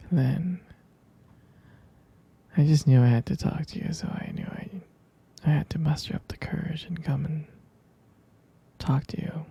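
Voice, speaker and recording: A very dark, mellow and husky vampire boyfriend , acted out by a man, murmuring tenderly in an intimate ASMR roleplay. He speaks very slowly and gently, in a sleepy half-whisper that hesitates and hums. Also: a little high, full character voice. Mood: tender, wistful. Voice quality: breathy, husky half-whisper with gravelly fry.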